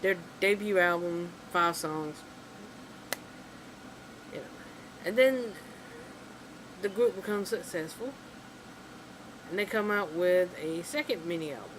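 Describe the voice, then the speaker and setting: A casual vlogger rambling through an exasperated rant, full of fillers and restarts. The voice sits at an ordinary middle pitch. A steady air-conditioning hum fills the noisy room behind it. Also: takes it slowly, long pauses. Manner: rambling, exasperated, heavy on "you know" and "like". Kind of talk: casual opinion rant to camera.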